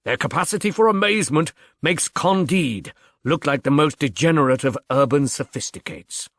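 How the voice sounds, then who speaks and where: A man voicing an elderly, supercilious gentleman in an audiobook, languid and dryly witty with ornate phrasing. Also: a little high, moderate pace, no echo, clean studio sound, pitched above the performer's own voice, close to an everyday voice. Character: elderly, suave, supercilious male fiancé.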